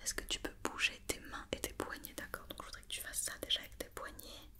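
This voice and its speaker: Woman whispering asmr, playing a doctor doing a checkup, patient step by step questions, soft breathy. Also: fast talker. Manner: patient, methodical, repeating instructions and questions. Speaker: ASMR performer playing a doctor examining a robot.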